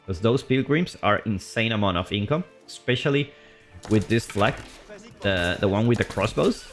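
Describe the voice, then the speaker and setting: A man streaming live commentary on a strategy game match. He is chatty and loose, and his voice leaps up on big plays before settling back to calm explaining. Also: moderate pace, soft background music. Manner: excitable, voice jumps high on big plays, then calm explaining; loose and chatty, with fillers and restarts.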